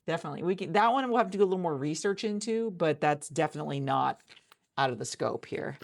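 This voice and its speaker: A woman doing a soft-spoken ASMR roleplay as a hiring manager, chatty and rambling with many restarts, recorded in a friend's office. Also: low-pitched, expressive, quick pace, vocal fry.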